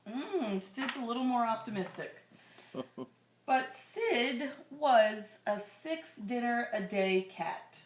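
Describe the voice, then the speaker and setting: Woman, picture-book reader, slightly high voice, slow expressive delivery with chatty asides to the listener, roomy sound. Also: slight background noise. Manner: expressive, drawn-out reading with conversational asides. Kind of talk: read-aloud storytelling with questions to the listener.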